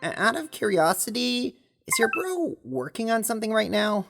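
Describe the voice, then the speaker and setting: A woman's voice: a little high, sing-song, moderate pace, vocal fry, over music. A woman voicing a cheerful, slightly scatterbrained gamer girl in an audio drama, casual and playful. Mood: casual curiosity.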